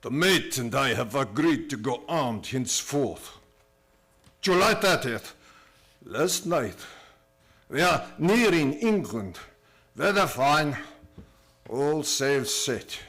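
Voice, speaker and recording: A man's voice: medium pitch, very animated, takes it slowly, pauses often, a bit bright, slightly rough, heavily acted. An actor playing a grim, increasingly fearful ship's captain, reading his log in clipped, solemn phrases in old-time radio style. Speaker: stage and radio theater actor.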